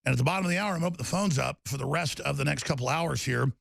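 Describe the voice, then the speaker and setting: Raspy talk radio guy, super gravelly and husky, ranting and rambling. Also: very fast, no room sound. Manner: rambling, emphatic, swings between loud bursts and low mutters. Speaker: radio talk show host. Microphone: studio microphone.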